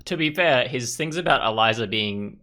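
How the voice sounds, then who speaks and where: Male gaming host, bright crisp-edged tone, mid pitch, casual commentary, conversational. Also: expressive, moderate pace. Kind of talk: casual game commentary and read-aloud.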